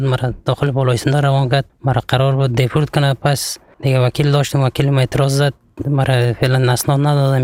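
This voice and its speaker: Young Afghan man, Afghan Dari accent, rapid and flat, halting personal testimony. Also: medium pitch, no room sound. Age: young adult. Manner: halting, plain sentences with restarts. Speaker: interviewee.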